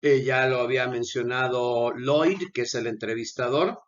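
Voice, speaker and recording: An unwell man hosts his channel, talking viewers through a video in a casual commentary voice. He earnestly pleads with his audience at mid pitch. The sound is dull and lo-fi. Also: moderate pace. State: unwell, with coughing fits. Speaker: channel host. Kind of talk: conversational commentary over a video.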